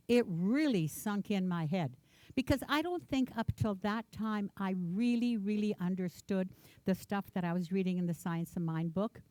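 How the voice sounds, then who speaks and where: A woman's voice: mid-range voice, mellow, dry recording. A woman minister, a native Ukrainian speaker, gives an earnest, reflective personal sermon in a church, repeating words for emphasis.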